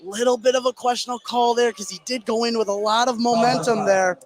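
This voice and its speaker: A man's voice: extremely high pitch, steady tone, fast talker, slight background noise. A man giving live color commentary on a basketball game, analytical and often putting questions to his partner.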